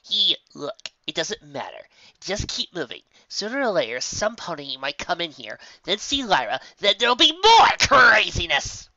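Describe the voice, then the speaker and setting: A bossy young unicorn magician mare , acted out by a man, sarcastic and impatient. The voice is bright and lifted a little above plain talk, in a dry storybook reading. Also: high voice, wildly swooping pitch, normal speed, dry recording, dull audio, voice pushed up, full character voice.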